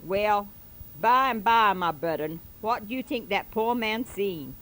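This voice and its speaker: A woman's voice: mid-range voice, animated, measured pace, a bit bright, low-quality recording. A woman voicing a fervent old-time male preacher who tells a parable in a drawling dialect, exhorting his congregation with repetitive asides. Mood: preaching. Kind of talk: comic dialect sermon recitation.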